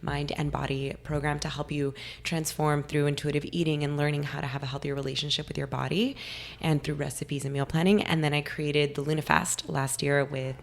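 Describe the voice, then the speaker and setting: A young woman from California, slightly hoarse, talking eagerly as a podcast guest. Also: low-pitched, level tone, a little fast. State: a little hoarse. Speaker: guest nutritionist. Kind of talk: casual interview talk.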